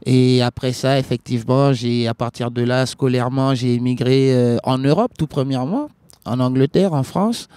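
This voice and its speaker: A man who grew up in Liberia, a reggae singer answering interview questions in a halting way, with many restarts and fillers. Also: medium pitch, warm tone, clean tone, no room sound. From West Africa, grew up in Liberia.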